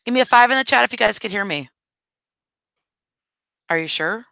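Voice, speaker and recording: A woman's voice: on the high side, expressive, normal speed, creaky, pushed and bright, no room sound, studio quality. A woman hosting a live stream in casual chat, talking straight to her viewers while losing her voice. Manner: chatty, addresses viewers directly.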